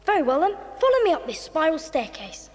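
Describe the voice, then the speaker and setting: A very high, lively young boy takes charge in a children's audio drama. He is earnest and bossy, calling out orders with plenty of exclamation. The sound rings in a long, slightly noisy reverb. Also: fairly quick. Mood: curious, commanding. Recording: echoey reverberant hall, noisy.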